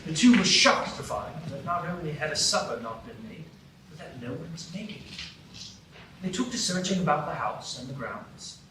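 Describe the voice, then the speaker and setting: A man's voice: on the high side, very animated, normal speed. A man narrating a live radio play in a storybook manner. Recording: echoey stage, distant mic, noisy.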